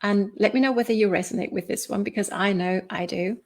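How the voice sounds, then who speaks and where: A woman's voice: medium pitch, fairly even intonation, brisk. Woman giving a motivational talk. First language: German. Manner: conversational, seeks agreement with rhetorical questions. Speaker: motivational coach and video host.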